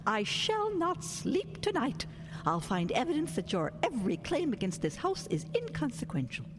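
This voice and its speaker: A woman's voice: high-pitched, huge pitch swings, normal speed, background noise. Prim, wildly swooping historian lady. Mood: excited, determined. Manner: prim, formal, theatrical diction. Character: prim, excitable woman historian defending an old house. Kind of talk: radio drama acting.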